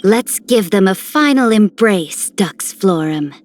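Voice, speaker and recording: A woman's voice: mid-range voice, expressive, slow, darkish tone. A woman voicing a regal, dignified noblewoman commander in a video game, stately and formal. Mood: solemn, tender. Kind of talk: video game character voice lines.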